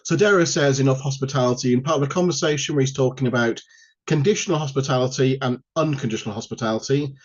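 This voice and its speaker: Male academic speaker, mid-pitched, informal and self-deprecating, delivering an online lecture over slightly muffled lo-fi audio. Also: quick pace. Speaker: university lecturer, guest speaker. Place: online video call. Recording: dull, lo-fi online call audio.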